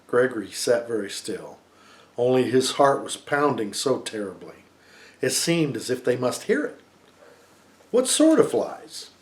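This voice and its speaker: A middle-aged man reading a story aloud to his family, giving an expressive storybook narration. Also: mid-range voice, normal speed.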